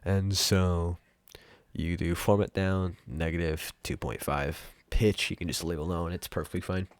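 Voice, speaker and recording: A young man hosting a casual software tutorial in an informal, rambling way. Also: low-pitched, steady tone, measured pace, no echo. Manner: informal, rambling, mild swearing. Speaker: tutorial host. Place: room at home.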